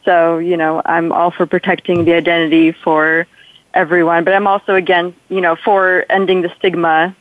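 A woman's voice: on the low side, level tone, normal speed, no room sound. A young adult woman with a slight Polish accent, chatting casually and ramblingly as a guest in a radio interview, with lots of filler phrases. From Poland. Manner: chatty, rambling, peppered with "like" and "you know". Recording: tinny, phone-like radio call-in line.

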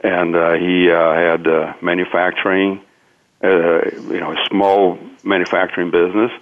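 A man from Brazil, a native Portuguese speaker with a Brazilian accent, giving an interview as a businessman in a halting, hesitant way. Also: low-pitched, little pitch movement. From Minas Gerais, Brazil. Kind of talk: interview conversation, recounting own career. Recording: tinny phone-like line.

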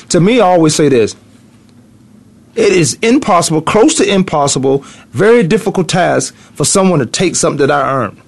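A middle-aged man hosting a morning sports talk radio show from a studio, rambling and thinking aloud as he goes. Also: sits high. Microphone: studio mic.

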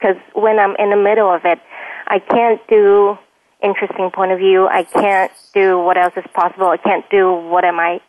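A woman's voice: medium pitch, level tone, normal speed, slight background noise. Woman from China on a tinny phone line. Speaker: caller to a radio show. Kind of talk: personal, confiding talk on air.

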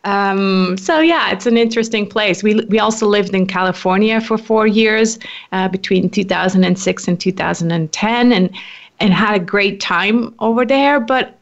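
A woman's voice: medium pitch, moderate pace, muffled recording. A woman in her forties from Switzerland, a podcast guest chatting casually, with frequent laughs and restarts. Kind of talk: casual interview conversation.

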